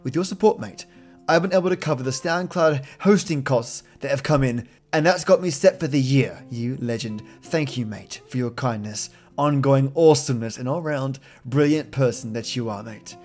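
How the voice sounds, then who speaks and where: Male podcast host, medium pitch, chatty and effusive with slangy thanks, soft plucked guitar behind. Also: moderate pace. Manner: effusive, with slangy matey address. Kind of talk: friendly, colloquial podcast outro. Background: plucked guitar music.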